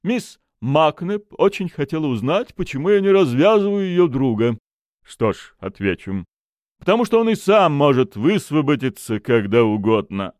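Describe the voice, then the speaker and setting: A man's voice: on the high side, big pitch swings, moderate pace, darkish tone, dry recording, clean studio sound, voice pushed up. A man voicing a haughty, self-assured expert in criminology for an audiobook, lecturing with a pompous drawl. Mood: smug, lecturing. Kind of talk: audiobook character acting.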